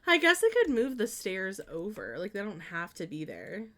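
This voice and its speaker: A woman's voice: medium pitch, huge pitch swings, normal speed, a bit bright. A woman streamer chatting casually with viewers as she plans out a house, thinking aloud in a hesitant, meandering way with many trailing restarts. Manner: chatty and friendly when greeting and answering viewers. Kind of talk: casual live-stream chat while building in a life-sim game.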